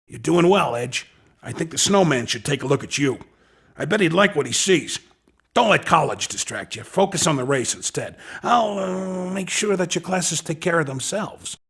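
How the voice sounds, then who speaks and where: Raspy, slangy hustler. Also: male voice, fairly high pitch, lively intonation, moderate pace, fairly bright tone, full character voice. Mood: cocky, encouraging. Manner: slangy, coaxing salesman patter. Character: Skid, a hustling male street racing recruiter, cocky and slangy. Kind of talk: video game character dialogue.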